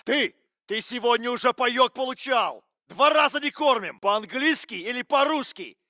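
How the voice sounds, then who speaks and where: An actor playing an aggressive Russian soldier in a military shooter game, barking urgent threats with a Russian accent. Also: male voice, very high-pitched, bright, forceful tone, no room sound, studio quality, exaggerated voice. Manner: barked, urgent, menacing.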